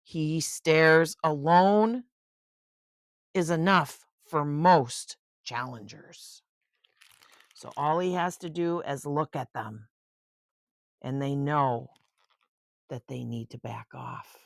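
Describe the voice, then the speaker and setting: An older woman reading a children's picture book aloud in an expressive, unhurried way, with chatty asides of wonder. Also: low-pitched, no echo, studio quality.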